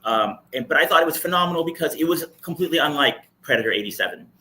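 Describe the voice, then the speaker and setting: A man's voice: on the high side, expressive, very fast, bright, forceful tone, a little hiss or hum, poor audio. A man speaking as a panel guest in a casual, opinionated discussion, building his points emphatically through repetition.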